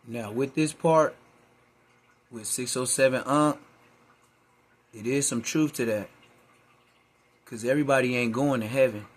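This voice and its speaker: A man's voice: mid-range voice, a little slow, long pauses. A man hosting a reaction video, talking in laid-back slangy street talk. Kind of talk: casual commentary.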